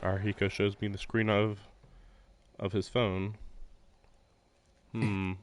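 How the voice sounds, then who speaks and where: A man's voice: on the low side, level tone, takes it slowly, a little hiss or hum. A man reading a visual novel aloud as a streamer, dropping in casual, wry asides. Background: music.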